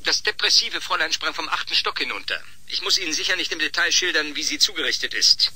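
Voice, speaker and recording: Sharp, husky, cynical official. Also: male voice, a little high, lively intonation, fast talker, heavily acted. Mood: biting. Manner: biting, sardonic. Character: cynical, callous male official, sarcastic and scheming. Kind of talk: German radio drama acting.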